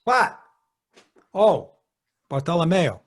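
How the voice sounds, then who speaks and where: High, husky, jittery young fisherman. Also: big pitch swings, slow pace, exaggerated voice. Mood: wary. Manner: stammering, agitated. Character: jittery, defensive young fisherman suspected of killing. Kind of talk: 1940s radio drama acting.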